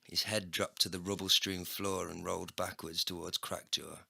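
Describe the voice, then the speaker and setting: Low, gravelly man narrating. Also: moderate pace, dry recording, studio quality. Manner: sweary, expressive storytelling. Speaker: narrator and lead character. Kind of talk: scripted first-person comedy story narration.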